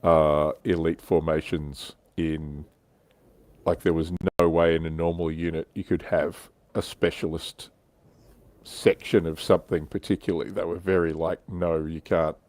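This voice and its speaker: Low-pitched man chatting. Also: level tone, a little slow, a little mellow, no room sound. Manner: explanatory, conversational. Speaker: livestream host. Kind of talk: casual discussion of military history and tabletop wargaming.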